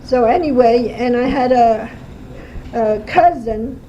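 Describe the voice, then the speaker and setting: A woman in her seventies, born in Armenia, tells her story slowly in a home kitchen. She hesitates and fills her pauses with "uh." Her voice is high yet mellow, and it sits on a dull, lo-fi home tape with a faint hiss. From Armenia (Erzurum, Turkey). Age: 70s. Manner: slow, halting storytelling with fillers. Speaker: interviewee. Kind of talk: oral history interview.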